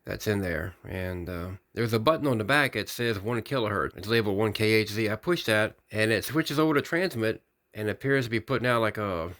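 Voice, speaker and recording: A man giving an unscripted hands-on product demonstration, rambling technically and thinking out loud as he hosts a hobby video. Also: on the low side, a little fast. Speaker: radio hobbyist video host.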